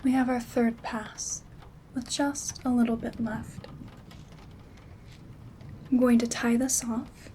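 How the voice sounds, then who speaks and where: A woman playing a calm, gentle witch in an ASMR roleplay, soft-spoken and often slipping into whispers as she gives step-by-step instructions. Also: a little high, slow, pauses often, slight background noise. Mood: calm, focused. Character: calm, gentle witch crafting herb bundles. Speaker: ASMR host. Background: rain sounds.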